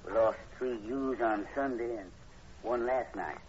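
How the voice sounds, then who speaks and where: A man's voice: mid-range voice, a little slow, lightly acted. An actor playing a timid, superstitious farm hired man with a rural Southern American accent in a 1940s radio horror play. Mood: wary, recounting. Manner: folksy, rustic, hesitant. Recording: muffled, noisy 1940s radio recording.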